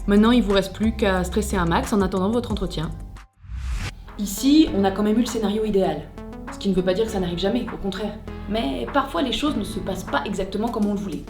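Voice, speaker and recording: A female presenter gives scripted, playful instruction. Her voice sits at an average pitch, and she is direct, conversational and wry. The room echo is noticeable, with light music underneath. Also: fast talker. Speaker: presenter of job-search tutorial.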